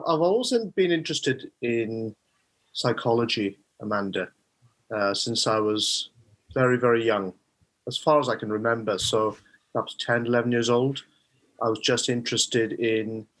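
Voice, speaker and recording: A middle-aged Welsh man talks as a podcast guest in a mid-range voice. He speaks thoughtfully and hesitantly, pausing on fillers as he searches for words. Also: medium pitch, a bit bright. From Wales. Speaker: guest, mind coach. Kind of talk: conversational interview.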